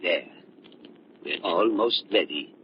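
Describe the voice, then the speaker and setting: An actor playing an embittered, paranoid older scientist who feigns kindness, in theatrical 1930s radio melodrama style. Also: male voice, deep voice, expressive, slow pace. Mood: sly, eager. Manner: theatrical, volatile, swinging from soothing to ranting. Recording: muffled, lightly noisy 1930s radio recording.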